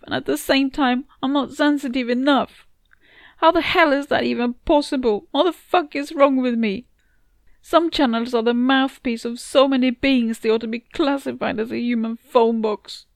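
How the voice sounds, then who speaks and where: A narrator acts out a British woman at the end of her tether in a dramatic story. Her voice sits fairly high, emphatic and full of self-doubt. It is recorded dry and close. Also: no echo. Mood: bitter, despairing. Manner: anguished, self-berating, emphatic. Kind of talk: dramatic first-person story dialogue.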